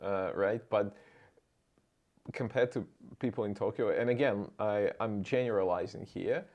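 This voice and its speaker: A man hosting a casual interview, choosing his words carefully and somewhat haltingly. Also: fairly low pitch, highs cut off. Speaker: interviewer and channel host.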